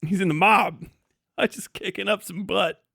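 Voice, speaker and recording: A man's voice: high voice, moderate pace, gravelly, bright, forceful tone, husky, no room sound, clean studio sound. A man giving casual livestream commentary in a profane, blunt, sardonic way. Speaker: livestream host.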